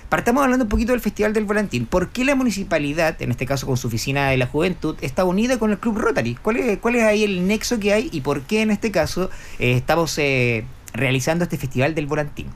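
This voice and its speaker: A man's voice: high-pitched, lively intonation, fast, hardly any pauses. A Chilean man in his thirties hosting a live radio interview in an effusive manner. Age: 30s. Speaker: radio host. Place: radio studio. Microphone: close studio microphone. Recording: clearly noisy background.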